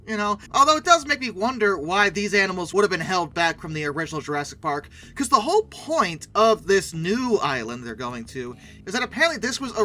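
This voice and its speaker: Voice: mid-range voice, sharp tone. A video host giving casual, sarcastic commentary with emphatic delivery.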